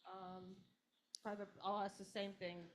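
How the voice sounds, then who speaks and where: A woman chairing a community board meeting, asking brisk, practical questions and restarting her sentences often. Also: medium pitch, level tone, a little hiss or hum. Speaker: committee chair.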